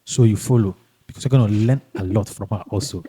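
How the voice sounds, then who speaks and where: A man hosting a podcast in casual, halting and emphatic speech, often repeating himself. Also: on the low side, mellow, breathy edge. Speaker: podcast host. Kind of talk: casual podcast introduction and interview chat.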